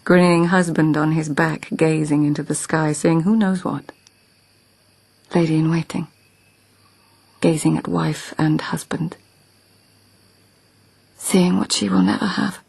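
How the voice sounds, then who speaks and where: A husky, proud court lady. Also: low voice, steady tone, a little slow, long pauses, darkish tone, dry recording, heavily acted. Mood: dreamy. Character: court lady Shonagon, sharp, proud, haunted by memories. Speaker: lead character. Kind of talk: BBC radio drama acting.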